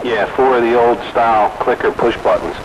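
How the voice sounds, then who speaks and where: A man's voice: mid-range voice, fairly even intonation, a little fast. A man, a radio hobbyist, talking casually over a radio link. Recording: noisy, dull radio transmission.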